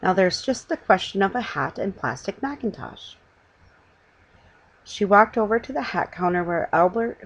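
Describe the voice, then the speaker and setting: Middle-aged female storybook reader, husky tone, very animated pitch, homely amateur delivery. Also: rough recording. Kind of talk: reading a children's story aloud. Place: room at home, indoors.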